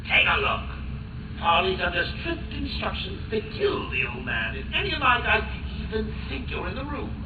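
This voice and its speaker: An actor playing a gleeful, sadistic clown villain in a video game, taunting and mocking with manic laughter. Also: male voice, sits high, big pitch swings, normal speed, reverberant, noisy background. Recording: tinny distant loudspeaker sound with music.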